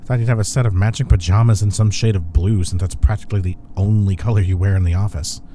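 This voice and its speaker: A man's voice: on the low side, fairly even intonation, fast talker, warm tone, slight background noise, lightly acted. A man playing a cocky, teasing office rival who is secretly smitten, in an intimate romantic audio roleplay. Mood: flustered, defensive. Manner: smug, teasing, breathy chuckles.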